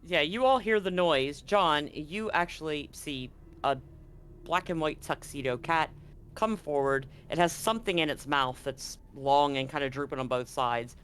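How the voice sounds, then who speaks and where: A man acting as game master, narrating a tabletop roleplaying game in a deliberate, halting way. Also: a little high, a bit bright, slight background noise.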